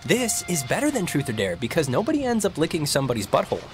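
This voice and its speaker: A man's voice: on the high side, huge pitch swings, quick pace, faint music behind. A young man with an American accent playing an eager, chatty, awkward teenage boy in a naturalistic video game performance. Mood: coaxing. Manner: rambling, upbeat, insistent.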